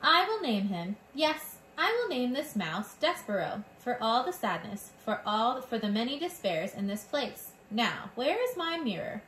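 A vain, theatrical mother mouse with a put-on French accent, as a woman reads her aloud in a storybook. She is high and lively, swooping through her self-pitying sighs with a bright, sharp tone. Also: measured pace, few pauses, echoey room, slight background noise, low-quality recording.

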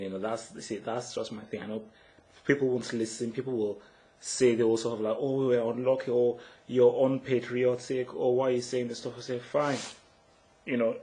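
A man's voice: medium pitch, darkish tone, low-quality recording. Frustrated man ranting about football. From Nigeria. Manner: frustrated, repetitive, emphatic with stammered restarts. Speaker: football blogger. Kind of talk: solo match analysis rant.

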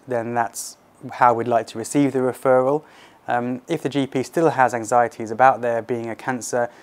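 A British man in his thirties speaking as a consultant surgeon, explaining things to doctors in a measured, clinical interview manner. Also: mid-range voice, darkish tone.

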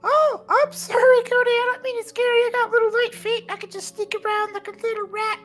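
An eager little schoolboy, with a very high, childlike voice. He rambles and pleads apologetically, in cartoon comedy style, over some music. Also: level tone, fairly quick, a bit bright. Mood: apologetic. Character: small, eager, apologetic schoolboy, the principal's son.

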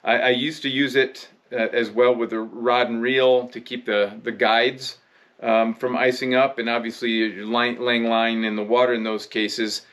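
An American man in his fifties talks casually to camera as a presenter of how-to videos. His voice sits at a medium pitch. He explains things conversationally, with a halting, unscripted delivery. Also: flat delivery, fairly bright tone. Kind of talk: casual instructional vlog talk. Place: indoor room with rod racks.

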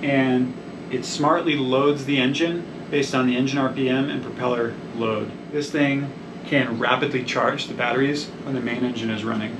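A young man who installs marine electrical systems, explaining the setup on a boat in a technical, rambling way with many ums and restarts. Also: mid-range voice. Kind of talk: technical walkthrough interview. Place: small wooden boat cabin and engine room. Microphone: camera a few feet away. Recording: echoey cabin, distant mic, air conditioning hum.

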